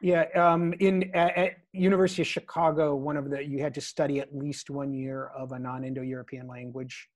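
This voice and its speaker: A man's voice: mid-range voice, flat delivery, a little fast, hardly any pauses, no echo, clean studio sound. A middle-aged man chatting as a guest on a video call from his living room over earbuds, enthusiastic, with hesitant starts. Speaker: guest lexicographer. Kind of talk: casual video-call chat. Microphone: earbud headset on video call.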